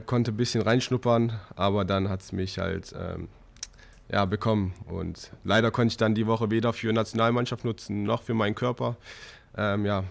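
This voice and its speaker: A man's voice: fairly low pitch, gravelly. A young man, a handball player, answering press questions hesitantly with many fillers into a table mic. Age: young adult. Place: hotel press conference room.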